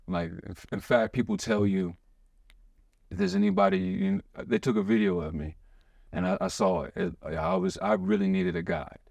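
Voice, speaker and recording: A man hosting a podcast in an excitable, rambling way, full of restarts and fillers. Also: low-pitched, creaky, dry recording. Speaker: podcast host. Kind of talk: casual chat.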